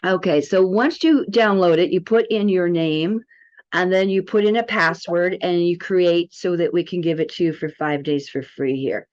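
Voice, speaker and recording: A woman's voice: fairly low pitch, normal speed, a little mellow. A woman giving a casual product demo, chatty and enthusiastic as she speaks directly to viewers. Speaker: presenter demonstrating a health product. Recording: dull, lo-fi sound.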